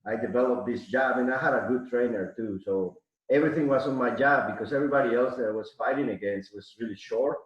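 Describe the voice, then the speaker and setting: A man's voice: medium pitch, quick pace. A middle-aged man from Mexico City, a podcast guest telling rambling stories full of restarts and fillers. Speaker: podcast guest, arm wrestler. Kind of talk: casual interview storytelling. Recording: echoey, muffled audio.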